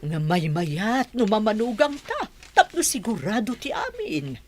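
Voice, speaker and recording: A woman's voice: mid-range voice, sing-song, a little slow. A woman with a Filipino accent playing a defensive, grasping mother in a radio drama. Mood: scheming.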